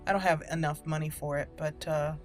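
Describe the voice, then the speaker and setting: A woman's voice: low voice, steady tone, a little fast. Woman doing roleplay game commentary over music. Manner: slips between in-character lines and casual asides. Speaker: gaming channel host.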